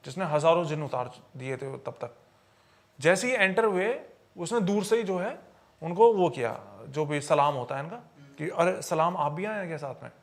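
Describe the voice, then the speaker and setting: A man telling stories conversationally on a podcast, explaining as he goes and checking in with his listener. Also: high voice.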